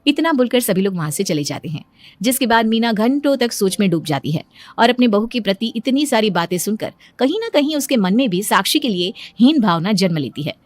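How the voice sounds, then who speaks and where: A woman's voice: medium pitch, sing-song, a little fast, dry recording. A woman reading scripted story narration, telling a family tale as a narrator.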